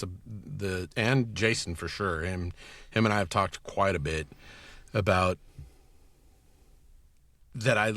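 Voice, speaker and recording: A man with a fairly low voice chats casually and enthusiastically, wandering through his stories. The sound is close and dry, with little room around him. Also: a bit bright, no echo. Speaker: podcast guest, audio producer and director. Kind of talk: casual chat.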